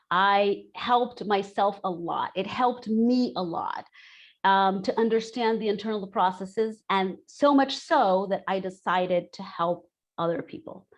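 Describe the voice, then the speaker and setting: Chatty woman coach from Brazil. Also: medium pitch. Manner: chatty, candid, restates herself. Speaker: expat coach. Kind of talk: webinar presentation.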